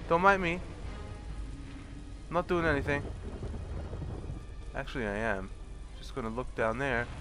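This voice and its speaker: A young man with a stuffy cold, casually commenting on his gameplay, swearing and mocking enemies sarcastically. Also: fairly high pitch. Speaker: let's play commentator. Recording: home recording with clear background noise.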